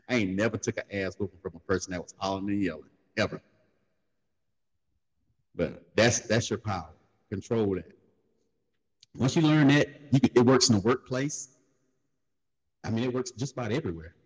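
Low-voiced middle-aged guy, laid-back storytelling, long echoey hall reverb. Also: fairly quick, long pauses, breathy edge. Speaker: podcast co-host. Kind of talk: casual advice talk.

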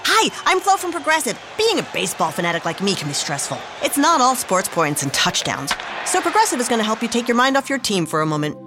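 A woman's voice: a little high, sing-song, fast talker. A woman voicing a scripted radio commercial with a perky, bubbly comic delivery. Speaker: ad spokesperson. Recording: ad with background music.

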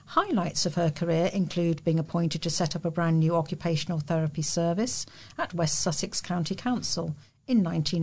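British woman reading news, lowish voice. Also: darkish tone. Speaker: volunteer news reader. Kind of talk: reading local news articles aloud.

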